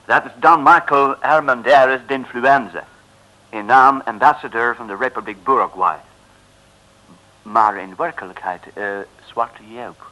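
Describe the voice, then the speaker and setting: A man's voice: mid-range voice, unhurried, sharp tone, low-quality recording. A man playing a fussy, stiff English lord in a comic radio play, hesitant, with an English accent and English words mixed in. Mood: exasperated.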